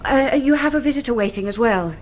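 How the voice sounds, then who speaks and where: An actress playing a helpful, composed librarian with a British accent in a radio drama. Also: female voice, fairly high pitch, brisk, a little hiss or hum, natural voice. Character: helpful, composed female librarian, younger than the detective.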